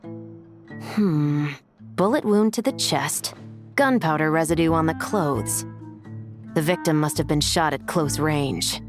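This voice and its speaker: Brief: no-nonsense young game officer, lowish female voice, measured and businesslike, light music underneath. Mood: serious, deliberate. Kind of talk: English video game voice acting.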